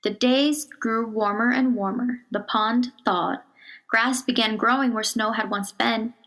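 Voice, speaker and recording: Higher-pitched woman reading a storybook aloud. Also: steady tone, a little slow, fairly bright tone, low-quality recording. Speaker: storybook reader. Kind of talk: children's picture book read aloud.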